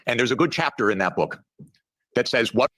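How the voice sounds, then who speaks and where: Bright-voiced sarcastic male analyst. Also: medium pitch, animated. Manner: deliberate, dryly sarcastic. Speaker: guest analyst. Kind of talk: measured commentary.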